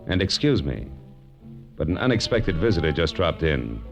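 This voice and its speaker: A man's voice: a bass voice, big pitch swings, normal speed, muffled recording, exaggerated voice. An actor playing a wry, tough-talking American private detective, narrating his case in 1940s radio drama style. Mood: wry, tense. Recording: vintage radio drama with music underneath.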